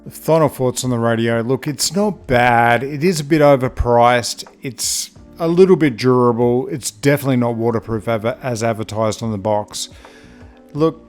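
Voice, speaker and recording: Male product reviewer, medium pitch, casual and plain-spoken, faint music underneath. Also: moderate pace, a little mellow. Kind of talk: casual hands-on gadget review.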